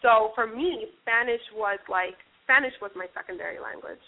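A woman's voice: fairly high pitch, normal speed, vocal fry. A young woman from New Jersey, a guest in a casual interview, rambling with many restarts and fillers. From New Jersey, USA. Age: young adult. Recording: tinny phone-like radio line.

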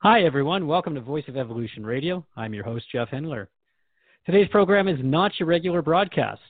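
A man's voice: medium pitch, dry recording. Man acting curious radio host, thin audio. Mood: slightly flustered. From Canada. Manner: hesitant, conversational, with stammered restarts and questions. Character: skeptical male radio host, curious, slightly flustered. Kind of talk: radio drama interview. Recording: thin phone-like band-limited audio.

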